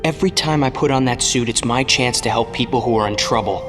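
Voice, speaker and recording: An actor playing an earnest, insistent young streetwise protégé to an older hero in an audio drama. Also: male voice, mid-range voice, quick pace, over music, lightly acted. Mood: pleading resolve. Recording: long cavernous reverb.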